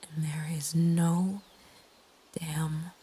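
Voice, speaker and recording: A woman narrating a scripted horror story in a breathy ASMR whisper, with a hushed, deliberate delivery. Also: deep voice, little pitch movement. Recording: faint ambient background noise. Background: soft nature ambience.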